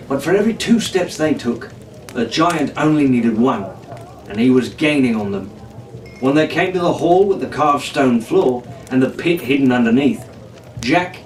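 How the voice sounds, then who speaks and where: A man reading a storybook adventure in a dramatic, theatrical, animated way. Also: mid-range voice. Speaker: audiobook narrator reading in character as a pirate captain. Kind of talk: dramatic scripted storybook narration. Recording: roomy, distant, noisy home-style recording.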